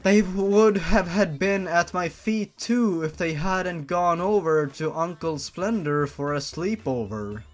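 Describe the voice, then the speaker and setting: A performer voicing a gentle aunt who tells a bedtime story, with slow, deliberate delivery, as in a dramatic reading of fan fiction. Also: mid-range voice, soft background music, close to an everyday voice. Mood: fond, nostalgic.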